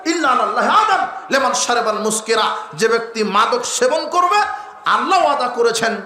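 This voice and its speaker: A male Islamic preacher with a Bangladeshi accent delivers a fiery sermon in a high, piercing voice. He exhorts his listeners with emphatic, repeated phrases and a sharp, tense edge. The sound is strongly echoey. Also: expressive, moderate pace. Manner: forceful, exhorting, emphatic repetition. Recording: echoey sound with faint background noise.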